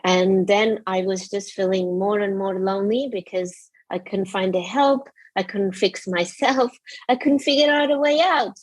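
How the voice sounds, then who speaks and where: A woman's voice: medium pitch, measured pace, no echo. A woman from Portugal with a Portuguese accent, a guest telling her story candidly, restarting often and saying "blah, blah, blah.". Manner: open, emotional, frequent restarts and fillers.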